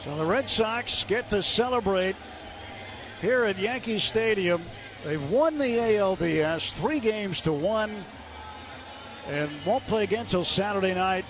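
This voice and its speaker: A man's voice: high-pitched, lively intonation, measured pace. A man working as a radio play-by-play announcer, giving live baseball commentary from a stadium booth.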